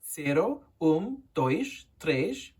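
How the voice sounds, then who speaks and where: Woman playing a confident little princess, lively pitch. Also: very slow, slightly rough, full character voice. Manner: eager, self-assured. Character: bright, confident seven-year-old princess.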